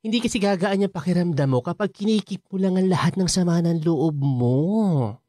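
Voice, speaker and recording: A woman playing an outspoken, protective best friend in a radio drama, expressive, nagging and comforting with exasperated interjections. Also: fairly low pitch, moderate pace, no echo, studio quality, close to an everyday voice. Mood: blunt. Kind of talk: Filipino radio drama dialogue.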